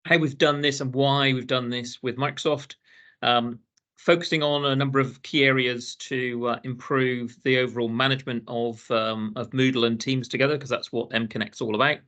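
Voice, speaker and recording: A man's voice: medium pitch, steady tone, normal speed, bright, forceful tone. Hesitant British man presenting a webinar. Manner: hesitant, many ums and uhs.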